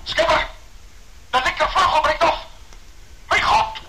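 Casting: panicked radio-drama flyer, very high and sharp, urgent exclamations over a radio link. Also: male voice, a little slow, exaggerated voice, poor audio. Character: desperate male aircrew member in a doomed plane.

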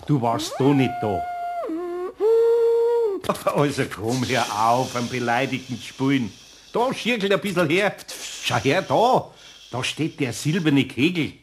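An actor playing a good-natured older Bavarian master carpenter in a radio play, talking in homely dialect. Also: male voice, medium pitch, expressive, unhurried, pauses often, background noise, natural voice. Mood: coaxing. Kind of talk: German radio play acting.

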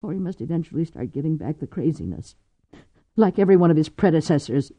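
A woman's voice: deep voice, very animated, quick pace, no room sound. A woman voicing a frightened, tearful pregnant young woman with an urgent manner. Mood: pleading. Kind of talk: audiobook character voice. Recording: dull lo-fi audio.

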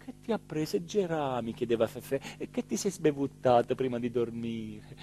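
An actor playing an excitable small-town mayor in a theatrical radio monologue, with an emphatic delivery that swings from hushed to shouted. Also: male voice, medium pitch, normal speed, lightly acted. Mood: proud, brisk. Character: excitable small-town Italian mayor recounting his dream and a night emergency.

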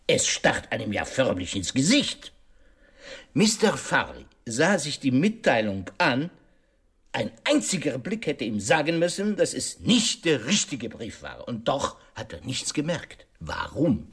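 A shrewd detective in a radio play, precise and sure of himself. His voice is slightly rough and husky, swinging widely in pitch as he builds dramatic pauses and pointed questions. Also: very animated, a bit bright, full character voice. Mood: pointed, insistent. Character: shrewd, theatrical male detective, self-assured and precise. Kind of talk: radio drama monologue, explaining a case.

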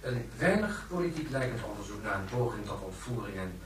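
An actor playing a sober, declamatory television news commentator, heard over a set in a large hall, in a radio play. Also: male voice, on the low side, big pitch swings, fairly quick. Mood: formal. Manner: formal, declamatory. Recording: very echoey, distant, faint noise.

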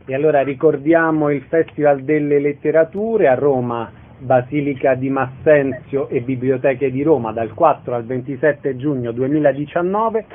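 A man's voice: mid-range voice, moderate pace, few pauses. A man hosting a radio interview, putting questions to a guest and making announcements, heard through a narrow phone-like channel.

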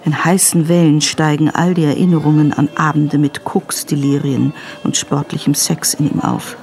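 A woman's voice: sits low, steady tone, moderate pace, darkish tone. A woman reading scripted, present-tense narration for a radio drama.

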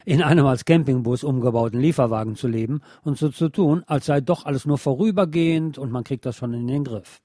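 A man presenting a scripted film review as a radio host, in a measured, explanatory way. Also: mid-range voice, mellow, dry recording, clean studio sound.

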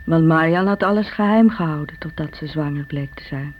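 A woman's voice: low-pitched, fairly quick, lightly acted. An actress playing an envious, bitter sister, confiding her brooding recollections in a radio drama. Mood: bitter envy. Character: Irene, envious, bitter divorced sister, self-doubting. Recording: dull radio recording with faint hum.

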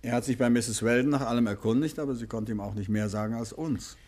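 An actor playing a composed, courteous gentleman detective in a 1950s German radio play, speaking thoughtfully with a husky voice. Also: medium pitch, quick pace, natural voice. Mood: serious, probing. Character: composed, probing gentleman detective, husband of his companion.

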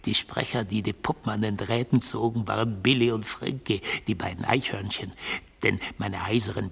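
An actor playing a brooding, defiant defendant who tells a fateful story in a radio play, hoarse and deliberate, with poetic insistence. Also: male voice, lowish voice, fairly bright tone, full character voice. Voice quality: hoarse, gravelly. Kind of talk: literary German radio play, monologue narration.

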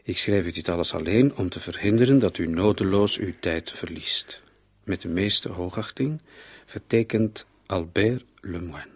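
Husky, low-voiced police commissioner. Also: male voice, normal speed, no echo. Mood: reading gravely. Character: male police commissioner, matter-of-fact and reflective. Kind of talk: radio play acting.

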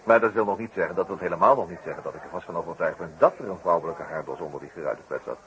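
A man's voice: deep voice, rapid-fire, a bit bright. A man playing a shrewd detective in a 1960s Dutch radio drama, musing in a wordy, hesitant, drily ironic way. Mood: wry musing. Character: shrewd, wry male detective, musing and deliberate. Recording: noisy old 1960s radio recording.

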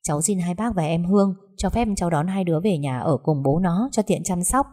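A woman's voice: fairly low pitch, a little fast, warm tone, natural voice. A woman voicing a composed former sister-in-law who speaks politely and deferentially. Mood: measured.

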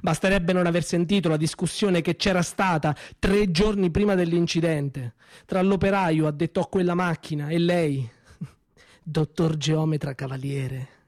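A man performing a theatrical monologue, bitter and accusing, with slow weighted pauses. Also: on the high side, fairly even intonation, a little mellow, no room sound, clean studio sound. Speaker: stage actor in a one-man monologue. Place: small theatre stage.

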